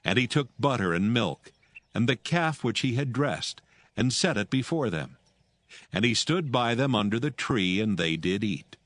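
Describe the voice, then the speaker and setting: A man narrating scripture from a script in a measured, solemn manner. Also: medium pitch, animated, no room sound. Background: soft music.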